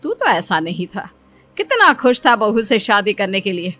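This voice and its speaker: A woman's voice: medium pitch, big pitch swings, normal speed, pushed and bright. A woman voicing a concerned older mother, tenderly scolding and pleading, in a cartoon moral story. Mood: concerned, reproachful. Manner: reproachful, pleading.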